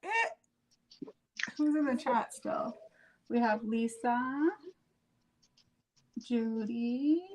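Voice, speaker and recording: Give me a friendly, chatty woman with a fairly high voice. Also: very slow, pauses often, dark tone, low-quality recording. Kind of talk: casual chat.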